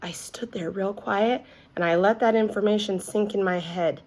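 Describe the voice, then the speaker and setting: A woman reads a children's storybook aloud in a mid-range voice. She is expressive and engaged, and speaks directly to her young listeners with questions and asides. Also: mid-range voice, normal speed. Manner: animated, asks listeners questions. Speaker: read-aloud teacher.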